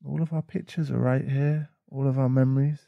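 A man playing a caring, protective boyfriend in an intimate ASMR roleplay, speaking softly and soothingly with halting pauses. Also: medium pitch, steady tone, vocal fry, no room sound, highs cut off, lightly acted. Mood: reassuring, loving. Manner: soft, soothing, halting, sighing. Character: caring, protective, shaken boyfriend comforting a partner. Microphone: close mic.

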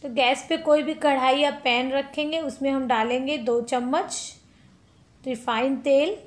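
A woman's voice: sits high, steady tone, unhurried. A woman hosting a cooking channel, chatting step by step through a recipe in a home kitchen and calling her viewers friends.

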